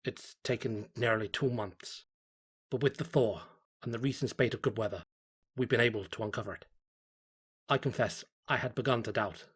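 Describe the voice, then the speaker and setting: A man playing a fervent cult devotee confiding in a diary, in solemn, measured horror story narration. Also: on the low side, slightly rough. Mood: grave, devout.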